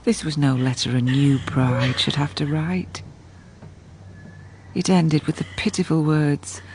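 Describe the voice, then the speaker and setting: A woman's voice: low voice, darkish tone, slight background noise. A woman playing a sober housekeeper who narrates a gothic tale in a radio drama. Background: faint music.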